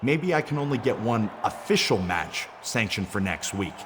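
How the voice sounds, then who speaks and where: A man's voice: fairly low pitch, big pitch swings, moderate pace, noisy background. A man playing a determined, unfazed pro wrestler in a video game, confident and understated.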